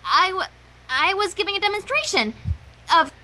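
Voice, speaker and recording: A woman acts out a studious young cartoon pony, easily flustered and prim. The voice is high and sharp-edged with very lively intonation, emphatic and defensive. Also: moderate pace, full character voice. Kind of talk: amateur fan fiction voice acting.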